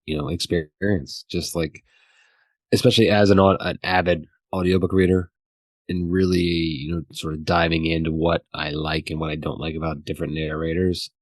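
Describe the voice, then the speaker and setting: A man co-hosting a podcast in casual discussion, speaking haltingly and often repeating words. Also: low voice, flat delivery, no room sound. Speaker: podcast co-host.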